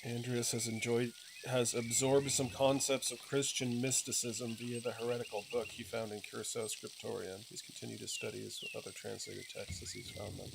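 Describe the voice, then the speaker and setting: Male gaming host reading text aloud, medium pitch, clear ambient noise behind. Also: flat delivery, moderate pace.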